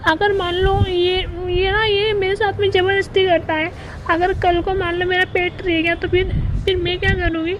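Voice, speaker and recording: Man mimicking a very high-pitched, plaintive young wife. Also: flat delivery, normal speed, a bit bright. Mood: pleading, worried. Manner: plaintive, complaining. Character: aggrieved, scheming young married woman pleading to elope. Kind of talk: mimicked phone-call comedy skit. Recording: muffled, noisy phone-call style recording.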